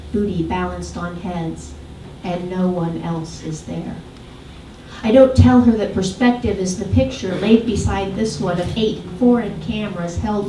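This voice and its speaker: A woman recites poetry in a roomy, reverberant space, picked up from some distance. Her voice is soft-edged and mellow, with a deliberate, dramatic delivery that leans on each phrase. A faint layer of noise sits in the recording. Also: mid-range voice. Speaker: poet. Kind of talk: poetry reading, literary recitation. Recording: echoey room, distant mic, faint noise.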